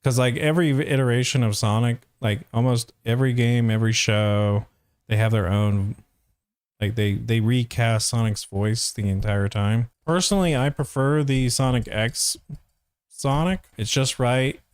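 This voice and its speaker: Clear-voiced man rambling through casual commentary. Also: mid-range voice, fairly even intonation, normal speed, no room sound, clean studio sound. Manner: hesitant, rambling, thinking aloud. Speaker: voice actor reviewing a fandub.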